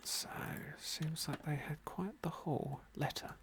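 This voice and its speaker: A man softly narrating a fantasy game as an ASMR roleplay, unhurried and with formal, genteel phrasing. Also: a little high, noisy background. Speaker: host of an ASMR game playthrough.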